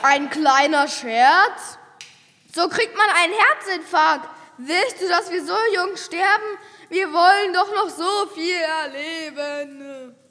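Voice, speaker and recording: A female actor plays an excitable, cheeky eleven-year-old with a very high voice. The child exclaims and protests, and the sound carries a long cave-like reverb. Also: slow, exaggerated voice. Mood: indignant. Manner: exclaiming, indignant.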